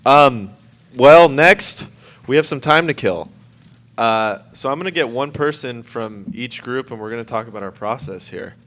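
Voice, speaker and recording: A man's voice: mid-range voice, animated, normal speed, a bit bright. Informal man hosting a radio show. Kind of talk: casual live hosting.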